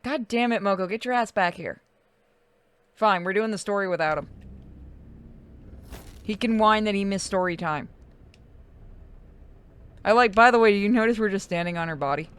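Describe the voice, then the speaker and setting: A woman's voice: mid-range voice, moderate pace, long pauses, clear, resonant tone. A woman hosting a game stream, chatting casually with friends in an informal, sweary, exclamatory way. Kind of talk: casual gaming commentary with friends.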